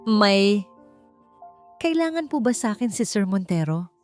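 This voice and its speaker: A woman's voice: mid-range voice, big pitch swings, a little slow, a little mellow, voice pushed up. A timid, hesitant young woman acted by a female narrator in a romance audio story. She speaks politely and anxiously, with music playing underneath. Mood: timid, nervous. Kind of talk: storybook character dialogue.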